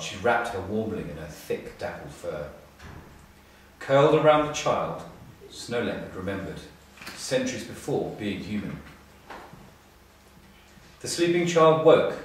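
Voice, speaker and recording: Heard from some distance in a very reverberant room, a middle-aged man reads a storybook aloud. His voice sits a little low. He phrases the scripted text expressively, with measured pauses. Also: a little hiss or hum. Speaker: storybook reader, school staff. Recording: echoey room, distant mic.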